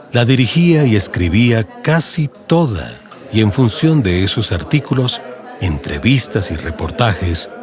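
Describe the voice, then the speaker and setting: A man with a Colombian accent narrating a literary radio drama audiobook in a measured storytelling delivery, with music behind him. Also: lowish voice. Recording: music bed under narration.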